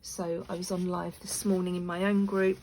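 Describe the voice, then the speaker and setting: A woman with a croaky voice chatting casually to her channel while making craft pieces, rambling with lots of fillers. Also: on the low side, level tone, normal speed, darkish tone. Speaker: crafting video maker. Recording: noisy room with clicks.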